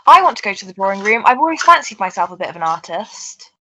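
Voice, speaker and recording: A woman's voice: medium pitch, big pitch swings, quick pace, pushed and bright, a little hiss or hum, rough recording. A woman voicing a dim, childish, whining henchman in an amateur melodrama radio play.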